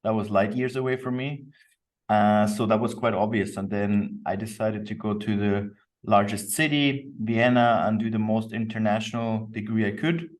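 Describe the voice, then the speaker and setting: A man's voice: lowish voice, steady tone, moderate pace. Austrian man, easygoing, off the mic. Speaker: podcast guest, company co-founder. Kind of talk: reflective interview talk. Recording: distant microphone.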